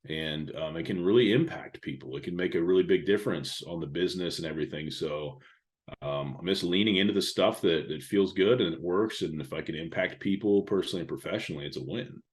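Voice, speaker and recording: A middle-aged man from the Indianapolis area with a loud radio-style voice, chatting casually as a podcast guest and trailing off quietly at the ends of phrases. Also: sits low, a little fast. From Indianapolis area, USA. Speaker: podcast guest, speaker and author. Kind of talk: casual interview talk.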